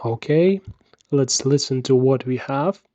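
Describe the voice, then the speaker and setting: Male tutorial narrator, unhurried and soft-edged, measured instruction, dry and slightly dull recording. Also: mid-range voice, no room sound. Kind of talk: scripted software tutorial narration.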